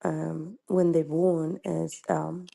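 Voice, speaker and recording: A woman from Ethiopia with an Ethiopian accent, calling in with a question and speaking haltingly, searching for words. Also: low voice, flat delivery, creaky, mellow, breathy edge. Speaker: caller.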